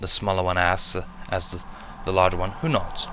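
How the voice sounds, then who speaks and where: A man's voice: sits low, level tone, brisk, noisy background. A man narrating a first-person story expressively, as a fan fiction reader. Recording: music behind the voice. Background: music.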